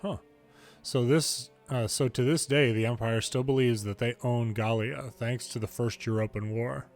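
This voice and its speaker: A man's voice: mid-range voice, steady tone, moderate pace, a little mellow. Man with a mid-range voice for reading game cutscene dialogue with light character acting, faint music underneath. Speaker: fan reader of game dialogue. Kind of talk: reading game story dialogue aloud in English.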